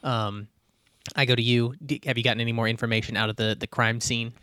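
A man's voice: medium pitch, little pitch movement, fast, pushed and bright, clean tone, dry recording, studio quality. A man playing a tabletop role-playing game, chatting casually and thinking aloud in broken phrases. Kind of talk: casual chat.

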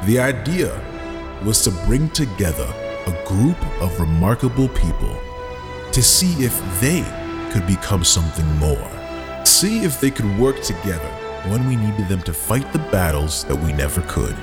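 A man playing a grave, stirring spymaster giving a heroic monologue in a dramatic, deliberate movie-trailer style. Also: deep voice, sing-song. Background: music.

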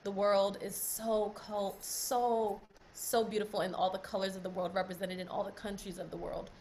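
A woman's voice: mid-range voice, flat delivery, normal speed, a little mellow, a little hiss or hum, low-quality recording. A woman speaking earnestly on a group call, repeating phrases for emphasis. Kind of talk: heartfelt closing remarks on a call.

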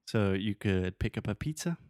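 A man's voice: lowish voice, lively intonation, normal speed, darkish tone, no room sound, clean studio sound. A man co-hosting a podcast lesson, patiently explaining phrases through casual examples in a playful way. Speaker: podcast co-host, English teacher. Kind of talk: casual bilingual English lesson chat.

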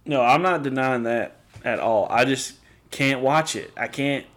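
A man's voice: mid-range voice, a little slow, fairly bright tone. Young man, podcast co-host, dry humor, teasing, easygoing chat.

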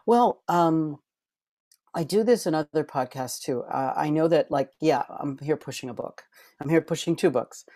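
A man's voice: medium pitch, a little mellow, no room sound, clean studio sound. A middle-aged man, a podcast guest and author, talking earnestly and heartfelt, with many fillers and restarts. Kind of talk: reflective interview talk.

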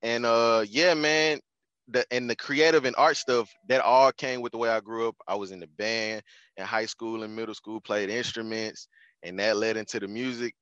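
Dry dull recording, young man, medium pitch, laid-back slangy podcast guest. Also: steady tone, moderate pace, fairly bright tone, clear, resonant tone, dry recording. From Houston, Texas. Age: 20s. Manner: laid-back, slangy, restarts and repeats phrases. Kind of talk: casual conversational interview.

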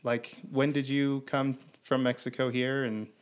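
A man's voice: mid-range voice, flat delivery, unhurried. A man hosting a podcast, rambling with many fillers as he introduces his first guest. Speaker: podcast host. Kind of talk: casual interview conversation.

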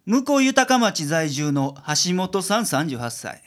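Male private detective played by a woman: suave, mock-formal, Kansai, mid pitch with big swings, radio comedy. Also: big pitch swings, unhurried. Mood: businesslike. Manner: mock-formal with clients, blunt with colleague. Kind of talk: comedic radio drama acting.

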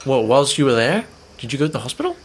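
A man's voice: medium pitch, wildly swooping pitch, fast talker, a little hiss or hum. A young man co-hosting a podcast in casual banter, teasing and often cutting in. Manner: teasing, interjecting. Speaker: podcast co-host.

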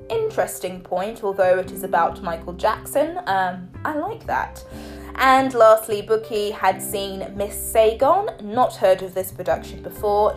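A woman hosting her own podcast in a chatty, playful way, her voice a little croaky from a cold. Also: a little high, darkish tone. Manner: chatty and playful, teasing listeners. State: hoarse from a cold. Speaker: podcast host. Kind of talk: solo podcast talk.

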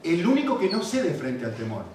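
A man with a Rioplatense Spanish accent preaching a sermon, animated and repetitive, often checking in with questions to the congregation. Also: on the high side, normal speed, slight background noise. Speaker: pastor. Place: church. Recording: very echoey hall, mic at a distance.

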